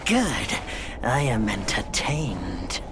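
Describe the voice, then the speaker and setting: Bitter monkey king warrior, deep-set low voice, very slow drawl, swinging delivery, game cutscene hiss behind. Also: very animated, exaggerated voice. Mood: mocking, menacing. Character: defiant weary male monkey king warrior. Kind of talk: video game cutscene acting. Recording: game cutscene with ambient noise.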